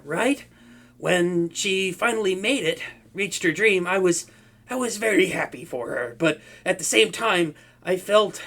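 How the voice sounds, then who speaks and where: A gentle young supervillain, voiced by a man who stumbles over his words in this audio roleplay. His voice sits high and swings widely. It has a gravelly edge. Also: heavily acted. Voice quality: stammering, nervous. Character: shy, soft-hearted young male supervillain.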